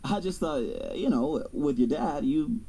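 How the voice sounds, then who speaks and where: A man's voice: fairly high pitch, animated, unhurried, warm tone, slight background noise, rough recording. An actor playing a young male athlete, guarded and curious, narrating his own story in an audio drama. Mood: curious, probing. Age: young adult. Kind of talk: first-person audio drama narration and dialogue.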